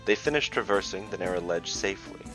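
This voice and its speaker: A man reading scripted fantasy narration as a podcast storyteller, in a measured, dramatic way. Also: sits low, lively intonation, over music, low-quality recording. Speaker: storyteller and dungeon master, podcast host.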